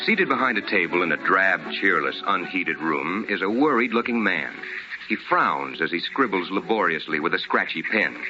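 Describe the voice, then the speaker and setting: A man's voice: low-pitched, lively intonation, moderate pace. An American man announcing and hosting in formal, polished, scripted 1950s radio style with emphatic delivery. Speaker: radio host and sponsor announcer. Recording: dull old radio broadcast with music.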